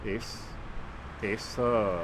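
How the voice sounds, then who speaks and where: Older man in his sixties, low-pitched and halting, outdoors at a street corner with faint traffic behind. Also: steady tone. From Venezuela. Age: 60s. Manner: hesitant, halting, heavy on fillers. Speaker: interviewee, father. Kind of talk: documentary interview.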